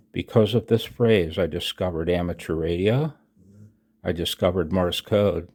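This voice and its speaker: An American man in his sixties reading his own announcement aloud, emphatically and with dramatic pauses, as a radio hobby vlogger. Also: sits low, darkish tone. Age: 60s.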